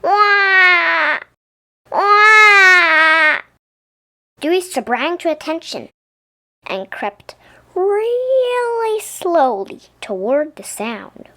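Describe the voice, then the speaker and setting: A woman reading a children's story aloud as an expressive narrator. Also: extremely high pitch, slow. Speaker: storybook reader.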